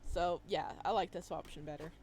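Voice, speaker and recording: A woman reading a visual novel aloud as a let's play host, reacting to the story in an animated way. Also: fairly low pitch, normal speed, breathy edge. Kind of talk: game commentary mixed with read-aloud narration.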